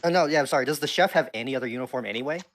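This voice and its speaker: A man's voice: mid-range voice, racing, fairly bright tone. A man playing a tabletop game, joking around and spinning short comic scenes. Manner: joking, storytelling. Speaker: player. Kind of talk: casual tabletop game chat.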